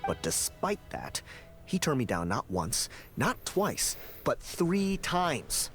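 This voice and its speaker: A man's voice: mid-range voice, huge pitch swings, slow pace, exaggerated voice. An actor voicing a resentful young male researcher in a game, sulky and grumbling. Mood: aggrieved. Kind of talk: game voice acting. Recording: game audio with background music.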